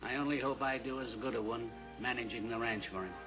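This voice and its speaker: An actor playing a grateful, kindly ranch manager in an old-time radio drama. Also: male voice, medium pitch, brisk, music in the background, close to an everyday voice, poor audio.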